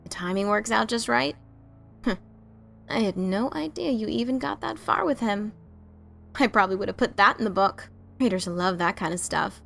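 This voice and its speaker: A woman's voice: mid-range voice, lively intonation, moderate pace, vocal fry, fairly bright tone, soft background music. A woman voicing a brash, teasing adventurer pony mare in a cartoon fan story reading. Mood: needling.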